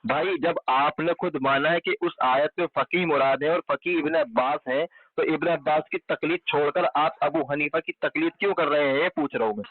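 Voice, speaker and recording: Male debater, fairly high voice, pressing and repetitive in religious argument, recorded off a dull phone line. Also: brisk, hardly any pauses, dry recording. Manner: insistent, repeats pointed questions. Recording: muffled, band-limited telephone call recording.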